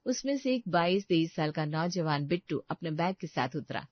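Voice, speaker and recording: A woman's voice: low voice, expressive, moderate pace, no room sound, dull audio. A woman narrating a scripted story.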